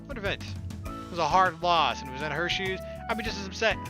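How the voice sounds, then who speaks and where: A man's voice: high-pitched, animated, quick pace, music in the background. A man reading visual novel text aloud as a let's play host, adding slangy, irreverent casual commentary.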